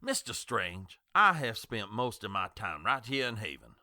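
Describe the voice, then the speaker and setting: An actor playing an older, skeptical small-town doctor in an audio drama, sardonic and condescending, given to lecturing. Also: male voice, fairly low pitch, big pitch swings, normal speed, bright, forceful tone, no room sound, clean studio sound, full character voice. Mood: scornful.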